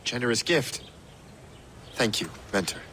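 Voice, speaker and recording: A man's voice: medium pitch, animated, measured pace, pushed and bright, a little hiss or hum. A man playing a cocky, wry young assassin trainee in a video game, with a self-assured, teasing manner. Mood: respectful. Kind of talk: video game cutscene acting.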